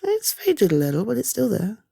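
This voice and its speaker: A British woman performing an ASMR roleplay as a caring partner-like confidante, speaking softly and hesitantly with reassurance. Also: a little high, wildly swooping pitch, creaky, husky, no echo, clean studio sound, exaggerated voice. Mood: gentle reassurance. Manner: hesitant, soft reassuring, halting pauses. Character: caring, reassuring woman comforting a loved one. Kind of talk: ASMR roleplay, one-sided intimate conversation.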